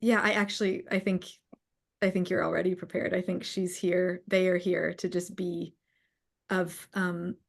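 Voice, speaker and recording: A woman's voice: lowish voice, little pitch movement, vocal fry. Thoughtful woman feeling her way through words. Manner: thoughtful, halting, searching for words. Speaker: co-creator hosting a live audience call. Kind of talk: casual reflective conversation, answering audience questions. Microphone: online live call.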